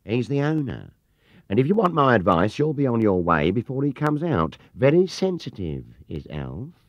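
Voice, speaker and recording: Man as an oily, animated British salesman. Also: medium pitch, moderate pace, dry recording, voice pushed up. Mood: scornful. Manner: fawning, then curt and sneering. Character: oily coach-tour salesman who turns nasty. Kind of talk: storybook character reading. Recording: dull 1970s cassette recording.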